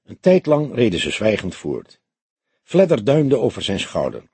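A man's voice: lowish voice, huge pitch swings, normal speed, a little mellow, breathy edge, no room sound. A man narrating a crime novel as a scripted audiobook.

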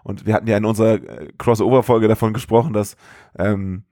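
A man's voice: fairly low pitch, fairly even intonation, brisk, mellow, no echo. Man chatting casually, dry close sound. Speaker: podcast co-host. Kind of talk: casual film-review chat.

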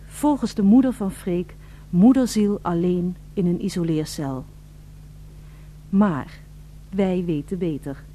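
Mellow, theatrical female narrator played by an actress. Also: medium pitch, natural voice. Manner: mock-solemn, florid, theatrical. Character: melodramatic female narrator addressing the radio listeners. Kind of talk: scripted radio play narration.

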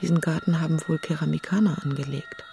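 An actress playing a resolute, analytical heroine in a literary radio drama. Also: female voice, low voice, fairly even intonation, fast, gravelly, mellow, slight background noise. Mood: determined. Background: music.

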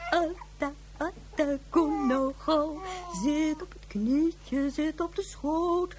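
A woman's voice: high-pitched, slow, darkish tone, slight background noise. A woman playing a self-righteous president of a colony world in a radio drama, theatrical and doting on her pet. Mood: doting, cooing. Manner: theatrical, cooing then stately.

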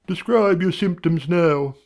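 A man voicing a brisk, cheerful country doctor with a breezy, condescending bedside manner. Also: high voice, vocal fry, a little mellow, pitched above the performer's own voice.

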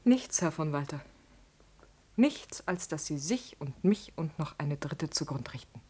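An actress playing a proud, wounded noble lady in a classical tragedy radio play, formal and intense, with a breathy, husky voice. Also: sits low, lively intonation, a little slow, mellow, exaggerated voice. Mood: pained.

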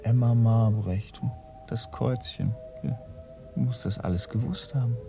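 An actor playing a dutiful, matter-of-fact detective's assistant in a radio crime play, measured and methodical, slightly hesitant. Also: male voice, medium pitch, steady tone, gravelly, over music. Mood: puzzled, thoughtful. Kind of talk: German radio play acting.

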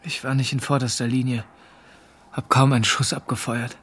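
An actor playing a weary young German soldier in a radio drama, speaking in a subdued, terse way. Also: male voice, mid-range voice, flat delivery, normal speed, a bit bright, slightly rough. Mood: weary, bitter. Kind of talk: radio drama acting, dialogue and narrated thoughts.

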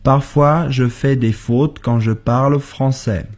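A husky male voice, speaking very slowly and deliberately. He says each practice sentence for learners, then repeats it word for word. The pace is unhurried, like a drill on a radio lesson. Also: mid-range voice, steady tone, darkish tone. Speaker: co-host of a language lesson. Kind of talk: slow model sentences for learners.

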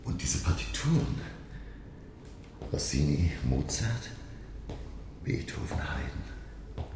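Very deep, gravelly learned professor narrator. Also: male voice, sing-song, slow, noisy background, full character voice. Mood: reflective wonder. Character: learned male professor, curious guest narrating his undersea adventure. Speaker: narrator and character. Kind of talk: radio play narration.

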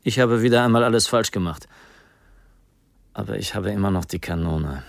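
A low gravelly doomed guy on the run, subdued and melancholy, farewell letter in a crime radio play, dry sound. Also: normal speed, dry recording. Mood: resigned, melancholy. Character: resigned, frightened man on the run, voicing farewell letter. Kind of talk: radio play monologue, letter read in character.

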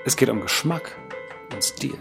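A man's voice: medium pitch, lively intonation, normal speed, vocal fry, a bit bright, faint music behind. A man in casual radio chat, giving his opinions in colloquial language. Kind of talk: casual radio chat, spliced into an audio comedy.

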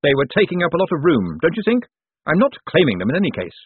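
Guy doing a cocky con man hyping a crowd, voice way up high, husky, phone-thin audio. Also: huge pitch swings, quick pace, phone-quality sound, a little hiss or hum. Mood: breezy, loud. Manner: loud, cocky public patter. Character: brash, glib male showman and con artist. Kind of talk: audiobook character voice.

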